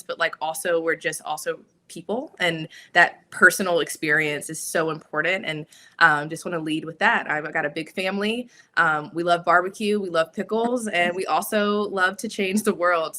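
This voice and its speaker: A woman's voice: fairly low pitch, pushed and bright. A woman from Birmingham, Alabama, speaking as a panelist and introducing herself in a personal, conversational way with frequent fillers. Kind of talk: casual panel chat.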